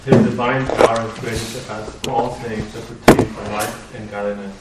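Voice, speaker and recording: Quiet man reading scripture from far off. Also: fairly low pitch, normal speed. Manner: quiet, flat reading aloud. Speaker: class member reading scripture aloud. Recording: distant, echoey, noisy room.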